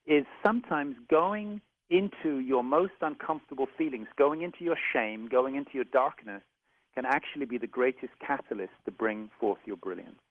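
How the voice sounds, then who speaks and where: A man with a British accent, an author talking about his book in a radio interview in a reflective, searching way. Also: mid-range voice. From England. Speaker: author guest. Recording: phone-like radio audio.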